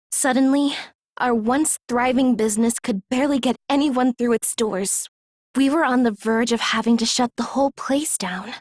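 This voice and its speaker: An actress playing an earnest, wounded young woman in a video game, speaking softly and hesitantly with emotion. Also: high-pitched, dry recording, clean studio sound. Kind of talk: English video game voice acting.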